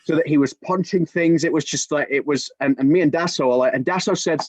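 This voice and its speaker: A man in his forties, a soccer commentator, telling an animated story with many restarts on a video-call podcast. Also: on the high side, fast talker, no room sound. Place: home room with bookshelves. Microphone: video call webcam. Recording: dull, dry video-call audio.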